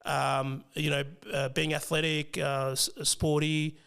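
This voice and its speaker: A young man with an Australian accent, whose first language was Fijian, talking casually as an interview guest, hesitant with many pauses and restarts. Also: on the high side, level tone, gravelly, fairly bright tone, smooth. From Western Sydney, Australia. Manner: hesitant, many ums and restarts, laughs easily. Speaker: financial planner guest.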